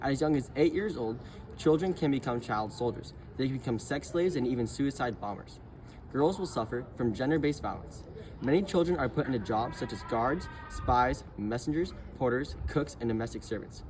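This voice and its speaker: A man's voice: medium pitch, lively intonation, normal speed, a little mellow, slight background noise, rough recording. A young man playing a news analyst, earnestly reading scripted facts in an amateur news segment. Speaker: mock news analyst and field reporter.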